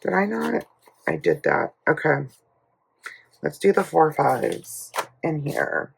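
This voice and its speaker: A woman with a hoarse, gravelly voice chats unhurriedly to camera in a casual budgeting vlog. She rambles through a family night out, leaning on fillers and asides while she counts out cash. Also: low-pitched, huge pitch swings, slow, rough recording. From United States. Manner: rambling storytelling with many fillers. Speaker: budgeting YouTuber.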